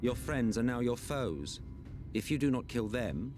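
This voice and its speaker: A man playing an arrogant, theatrical video game villain, weary and menacing, speaking in a formal, grandiose, drawn-out way. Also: mid-range voice, sing-song. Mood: cold, grave. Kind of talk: dramatic video game voice acting. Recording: loud game music under the voice. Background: orchestral game music.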